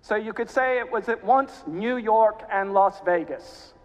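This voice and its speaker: Genial preacher, mid-Atlantic accent, church reverb. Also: male voice, high-pitched. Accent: mid-Atlantic, American Southern with British. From South Carolina, USA. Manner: genial, dry humor, measured. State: jet lagged. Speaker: cathedral canon preaching as guest. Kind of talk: sermon. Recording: dull sound, long reverb of a church.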